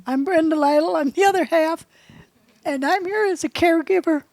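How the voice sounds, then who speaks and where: A woman's voice: extremely high pitch, normal speed, darkish tone, dry recording. A woman, a caregiver, introducing herself in an earnest, determined way. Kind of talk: brief self-introduction.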